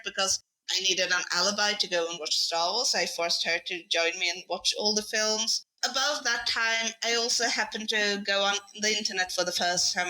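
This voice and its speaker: Bright-voiced Swedish woman. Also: medium pitch, low-quality recording. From Sweden. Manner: eager, storytelling. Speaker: podcast co-host. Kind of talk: casual podcast chat.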